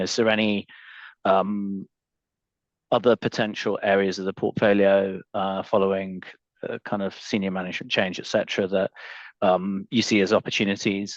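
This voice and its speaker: A man calling in as a financial analyst, asking questions on an earnings call in halting phrases. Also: sits low, dry recording. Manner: halting, trails between phrases. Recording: dull, band-limited phone conference audio.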